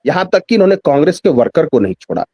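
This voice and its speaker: A man's voice: on the high side, big pitch swings, fast, breathy edge, no room sound, muffled recording. A man giving extended political commentary as a guest in a news discussion, emphatic, repeating phrases and posing rhetorical questions.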